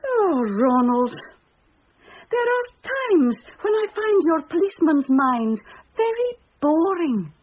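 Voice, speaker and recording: A woman's voice: very high-pitched, big pitch swings, takes it slowly, full character voice. An actress playing an airy, self-satisfied policeman's wife in a British radio drama. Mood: pleased, airy. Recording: muffled radio recording.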